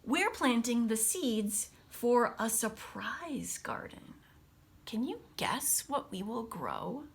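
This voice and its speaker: A woman teacher reading a picture book aloud to children, expressively, with casual, hushed explanatory asides. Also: a little high, slow, gravelly. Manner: animated storybook delivery, dropping to hushed asides.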